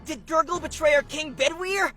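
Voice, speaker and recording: A man's voice: very high-pitched, moderate pace, sharp tone. A man voicing a brave, level-headed young cartoon dragon in an earnest, resolute manner. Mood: alarmed, urgent. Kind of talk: animated cartoon voice acting. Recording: music behind the voice.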